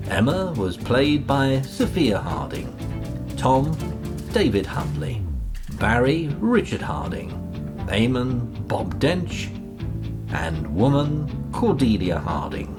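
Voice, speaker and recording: A man's voice: medium pitch, sing-song, slow. A man announcing the closing credits of a radio play in a formal, scripted way. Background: music with guitar.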